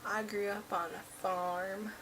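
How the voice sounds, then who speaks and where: A woman's voice: medium pitch, takes it slowly, distant mic. A woman mimicking a drawling farm girl. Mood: mocking. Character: slow-drawling young female farm girl from a film. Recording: steady background hiss.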